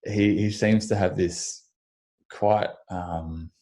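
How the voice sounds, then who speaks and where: A young Australian man, a physiotherapist, answering interview questions in a measured way, slightly croaky in the morning, restarting his sentences often. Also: low-pitched, steady tone, mellow. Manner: measured, with frequent restarts and ums. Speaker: physiotherapist guest.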